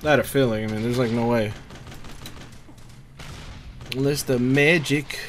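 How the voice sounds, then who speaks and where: A man's voice: medium pitch, a little slow, slight background noise. A man giving casual let's-play commentary, informally muttering to himself as he plays. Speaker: gamer playing and commenting. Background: game gunfire and battle ambience.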